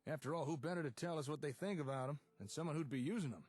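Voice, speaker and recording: An actor playing an eager, friendly inventor in a video game, upbeat and conversational, with a husky voice. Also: male voice, fairly high pitch, racing, a little mellow, no room sound. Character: eager, friendly male inventor showing off settlement tech.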